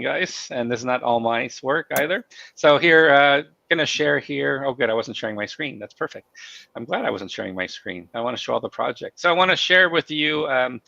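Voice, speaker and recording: A man with a cold hosting a live company stream, talking in halting bursts full of fillers and restarts. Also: mid-range voice, gravelly, bright, forceful tone, no echo, dull audio. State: has a cold, losing his voice. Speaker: host of company town hall stream.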